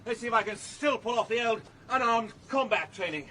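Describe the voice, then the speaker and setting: An agitated man in trouble in a radio mystery. His voice is very high and forceful, strained and exasperated, with faint ambient noise. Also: lively intonation, fairly quick, heavily acted. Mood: strained, sarcastic. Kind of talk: radio drama acting.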